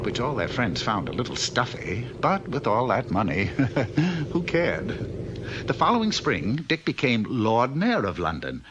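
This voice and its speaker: Lively, wry male cartoon narrator. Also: fairly low pitch. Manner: wry storyteller delivery. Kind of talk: comic scripted fairy-tale narration for a 1960s cartoon. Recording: dull, noisy old cartoon soundtrack.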